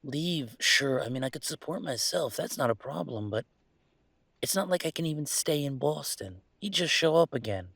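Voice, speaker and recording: A man's voice: a little high, lively intonation, moderate pace, creaky, fairly bright tone, dry recording, full character voice. A man playing a restless young werewolf pack heir in an intimate audio roleplay, rambling conversationally with wry, wistful humor. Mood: troubled, resigned.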